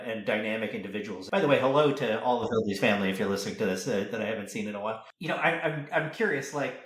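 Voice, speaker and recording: Man, echoey room sound, mid pitch, casual podcast interviewer, tentative rambling questions. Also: fairly bright tone.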